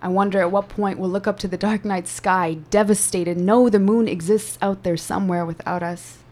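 A woman whose first language is Afrikaans, a spoken word poet and teacher, performing a poem with slow dramatic delivery and chatting about her craft. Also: mid-range voice, fairly even intonation, a little mellow. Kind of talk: poetry performance and conversation.

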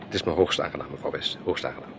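A man's voice: a bass voice, very fast. An actor in a radio drama playing a jovial, courting oil salesman, courteous, chatty and effusive. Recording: noisy old radio play recording.

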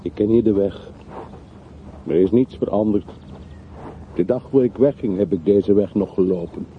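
A bitter, brooding man in a radio drama, played by a male actor, with a fairly low voice and a sardonic, rhetorical way of speaking. The old recording is muffled and thin, with faint noise behind him. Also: normal speed, natural voice. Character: bitter, sardonic man revisiting his wealthy childhood town. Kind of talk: radio play acting.

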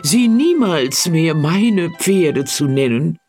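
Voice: medium pitch, animated, takes it slowly, darkish tone, soft background music. An actor playing a small, poor, chatty peasant man in a fairy tale, eager and easily cowed. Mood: meek, apologetic. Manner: exclaiming, overeager, submissive when scolded. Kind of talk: acted fairy-tale audio story dialogue.

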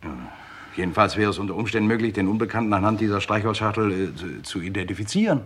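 Deep-voiced, wry gentleman crime writer. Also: fast talker, breathy edge. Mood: polite, eager. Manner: wry, polite, talkative. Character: mild, eager male crime writer narrating his own case. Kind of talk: 1950s-80s German radio crime drama acting.

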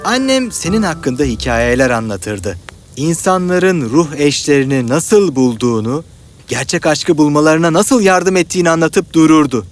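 An earnest, scatterbrained poet played by a man in a fairy-tale cartoon, with a fairly high, very animated voice. He speaks slowly and melodramatically over faint music. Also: natural voice. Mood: earnest, pleading. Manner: melodramatic, pleading. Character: earnest, dreamy, scatterbrained lovesick male poet.

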